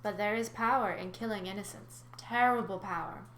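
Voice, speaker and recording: Woman reading as ancient huntress, storybook, solemn, archaic, mid pitch, roomy echo. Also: normal speed, a bit bright, lightly acted. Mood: solemn, ominous. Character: grave ancient young huntress telling old lore. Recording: roomy echo, faint background noise.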